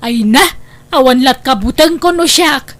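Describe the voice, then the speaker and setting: Woman acting a high-pitched, scoffing Ilocano wife. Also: lively intonation, a little slow, fairly bright tone. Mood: scoffing, defiant. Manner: exclaiming, scoffing. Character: loud, dismissive wife planning to fix up her house. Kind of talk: Ilocano comedy radio drama acting.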